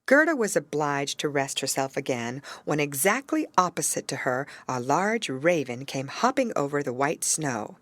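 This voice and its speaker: A woman narrating a fairy tale as an audiobook reader, in an expressive scripted storytelling style. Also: sits low, normal speed, few pauses, dry recording.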